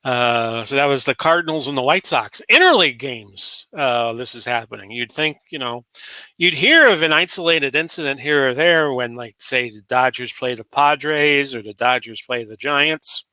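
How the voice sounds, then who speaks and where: A man's voice: medium pitch, lively intonation, normal speed, sharp tone, clear, resonant tone, no room sound, poor audio. A man in his late forties from Florida, hosting a solo talk show in a rambling, casual way full of fillers and restarts. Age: 40s. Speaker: podcast host.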